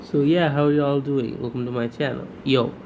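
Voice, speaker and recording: A man's voice: mid-range voice, steady tone, normal speed, gravelly, darkish tone, slight background noise, low-quality recording. A man who is not a native English speaker, talking casually to his viewers in a chatty, informal way with frequent restarts. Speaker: YouTuber. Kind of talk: casual vlog talk to camera.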